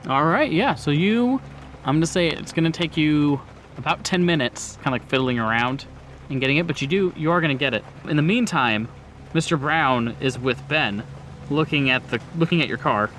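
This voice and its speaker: Higher-pitched man running a tabletop game. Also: animated, normal speed, fairly bright tone, a little hiss or hum. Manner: informal, conversational. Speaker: game master. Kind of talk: narrating a tabletop roleplaying game.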